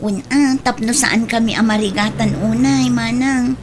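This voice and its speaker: A woman plays a persistent younger sister with a slightly high voice and an Ilocano accent. She sounds respectful and ambitious in radio drama dialogue, with some noise behind her. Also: fairly even intonation, moderate pace, lightly acted. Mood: hopeful. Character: patient, ambitious younger sister, a small-time market trader.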